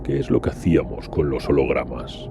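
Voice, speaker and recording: A man's voice: a bass voice, sing-song, fairly quick, creaky, music in the background, full character voice. A man playing a proud, defiant soldier, a hardened warsmith in an audio drama, curt and cocky in his delivery.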